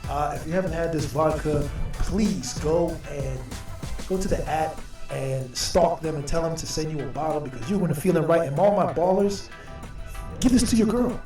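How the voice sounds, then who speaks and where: A man hosting a podcast, from Jamaica, talking in a hyped, casual way and shouting out guests and sponsors. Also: fairly high pitch, normal speed, echoey room, far from the mic, soft background music. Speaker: podcast host.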